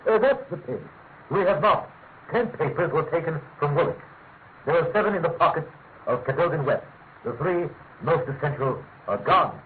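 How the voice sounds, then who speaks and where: An actor playing a pompous, fussy middle-aged government official with a British accent, halting and emphatic, in classic radio mystery style. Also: male voice, fairly high pitch, expressive, natural voice. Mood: urgent. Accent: British English. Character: pompous, fussy male senior government official, gravely insistent. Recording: tinny, narrow-band radio recording with hiss.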